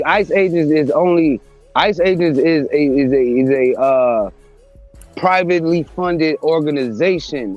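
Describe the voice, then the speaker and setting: A man in his thirties talks casually, stumbling over his words and starting his sentences again before he finishes them. His voice sits somewhat high, the sound is a little dull, and music plays quietly behind him. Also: no echo. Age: 30s. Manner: stammering restarts, agreeable. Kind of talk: casual chat.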